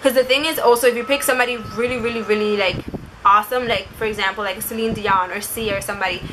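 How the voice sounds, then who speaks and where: A woman's voice: on the high side, fairly even intonation, fast talker, background noise. A young woman telling a story and giving advice in a casual, chatty vlog style, saying "like" constantly. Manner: chatty, informal, uses "like" constantly. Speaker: vlogger.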